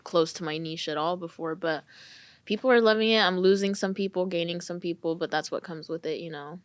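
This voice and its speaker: A woman's voice: lowish voice, a little fast, no echo. A woman in her twenties from California, a guest on a podcast, chatting casually with frequent laughs and lots of "like". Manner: bubbly, giggly, lots of "like". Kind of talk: casual chat.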